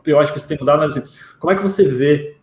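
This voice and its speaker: A man's voice: a little high, racing. A man with a Brazilian accent interviewing casually, feeling his way through questions with frequent check-in tags. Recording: echoey room with faint noise.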